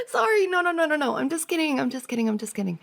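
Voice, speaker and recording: A woman's voice: sits high, big pitch swings, quick pace, creaky, heavily acted. A woman playing a playful, teasing young woman, a best friend's older sister, in a giggly, casual ASMR roleplay. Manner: giggly, teasing banter with frequent soft laughs.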